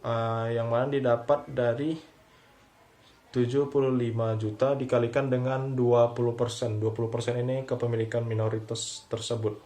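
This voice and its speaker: A man's voice: medium pitch, level tone, moderate pace, mellow. A young man giving a student presentation, methodically going through entries with English terms mixed in. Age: young adult. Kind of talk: presentation explaining worksheet entries.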